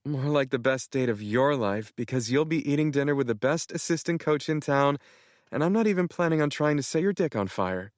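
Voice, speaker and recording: A man's voice: medium pitch, animated, a little fast, dry recording, studio quality, voice pushed up, lightly acted. A man voicing a teasing young woman.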